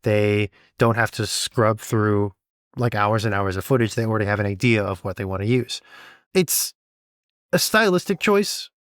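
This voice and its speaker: A man's voice: fairly low pitch, expressive, normal speed, no room sound, clean studio sound. A man hosting a podcast, explaining and giving advice in an informal, conversational way. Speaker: podcast host and audio drama sound designer. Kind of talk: casual podcast talk, explaining and advising.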